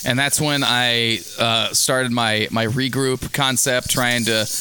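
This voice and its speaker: A man's voice: medium pitch, fairly even intonation, takes it slowly, sharp tone. A man in a casual chat, ranting and joking with lots of swearing and rambling restarts. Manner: rambling, profane, jokey ranting. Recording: noisy background hiss.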